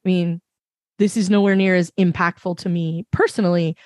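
A woman with a fairly low voice, speaking emphatically and passionately as a panelist in a casual discussion. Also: steady tone, moderate pace, no room sound, studio quality. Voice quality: reasonably low voice. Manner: emphatic, passionate, many fillers. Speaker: podcast panelist.

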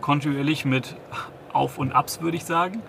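A man's voice: fairly high pitch, normal speed, vocal fry, a bit bright, a little hiss or hum. A man in his thirties, a company founder, giving a business interview, hesitant, with many restarts and fillers. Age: 30s.

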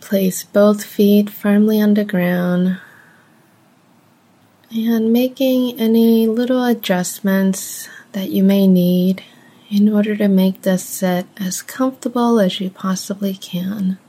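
A woman guides a meditation at a very unhurried pace. Her voice is soft, mellow and a little breathy. She speaks gently, in short measured phrases separated by long pauses. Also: medium pitch, steady tone.

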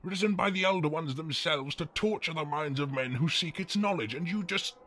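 An actor playing an ancient, pompous, foul-mouthed demon with grand archaic speech, in a comedy sketch. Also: male voice, lowish voice, big pitch swings, slow pace, sharp tone, slightly rough, full character voice. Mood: exasperated. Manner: grand, archaic, menacing speech breaking into British swearing.

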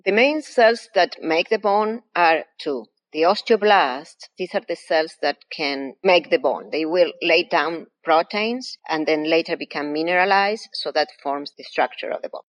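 A woman with a low, deep voice and an Argentine accent answers interview questions. She explains her science step by step in a patient, methodical way, a guest laying out her field for listeners. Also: measured pace, few pauses. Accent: Argentine Spanish. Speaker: anatomy professor, guest.

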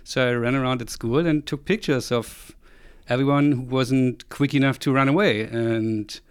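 Clear-voiced German man chatting. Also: medium pitch, steady tone, moderate pace, no echo. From East Germany. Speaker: interview guest, photographer. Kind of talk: casual conversational storytelling.

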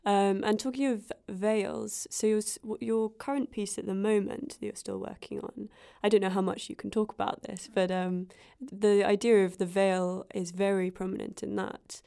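A woman interviewer leads the talk with long, loosely built questions full of "sort of" and "um". Her voice is mellow and dark with a gravelly edge. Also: mid-range voice, little pitch movement, moderate pace, hardly any pauses. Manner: friendly, rambling questions with fillers. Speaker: interviewer host. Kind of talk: interview conversation.

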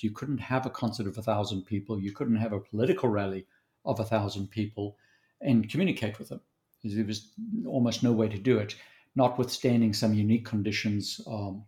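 A man in his fifties with a Southern African accent, a guest in an interview, talking thoughtfully with frequent restarts and pauses. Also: on the low side, darkish tone. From born in Zambia, raised in South Africa. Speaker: guest consultant. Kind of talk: conversational interview.

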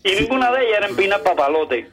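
A man's voice: high-pitched, very animated, rapid-fire, fairly bright tone. A man with a Cuban accent calling in to a talk radio show, giving opinionated political commentary in a colloquial, sardonic, idiom-filled way.

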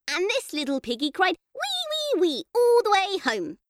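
A woman's voice: extremely high pitch, huge pitch swings, moderate pace, no room sound, clean studio sound, voice pushed up, heavily acted. A woman voicing a curious, playful little girl with a childlike sing-song manner, in an audiobook story. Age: young child. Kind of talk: audiobook character voice.

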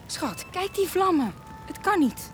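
A woman's voice: very high-pitched, sing-song, measured pace, noisy background, full character voice. A woman playing an anxious, grateful mother speaking to her small child in a children's radio play. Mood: anxious, soothing. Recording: music behind the dialogue.

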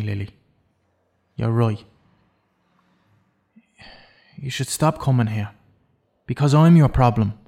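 An actor playing an imprisoned killer in an audio drama, self-loathing and intense, speaking with deliberate, persuasive insistence. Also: male voice, medium pitch, mellow, lightly acted. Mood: grave.

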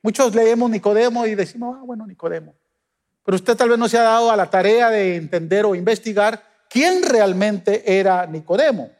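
Guatemalan pastor preaching in a reverberant hall. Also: male voice, high-pitched, normal speed. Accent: Guatemalan Spanish. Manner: emphatic preaching, swings between loud peaks and soft drops. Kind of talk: sermon.

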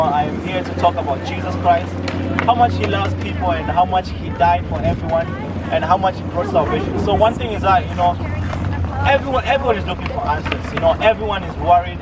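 A man, earnestly preaching outdoors and repeating himself often. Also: on the high side. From Zimbabwe. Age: 20s. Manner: earnest, repetitive, many restarts. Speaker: street evangelist. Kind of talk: interview answers, preaching. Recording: distant mic, loud outdoor traffic noise.

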